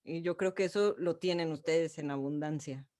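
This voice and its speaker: A woman's voice: sits low, normal speed, mellow. A woman hosting an interview, thinking aloud in a reflective way. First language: Spanish. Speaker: host. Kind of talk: interview conversation.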